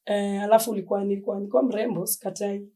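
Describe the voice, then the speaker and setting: Young kenyan woman chatting on a podcast, warm low-key tone, mixes slang in. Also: rapid-fire. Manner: relaxed, mixes Swahili slang and English. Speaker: podcast guest. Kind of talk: casual interview chat.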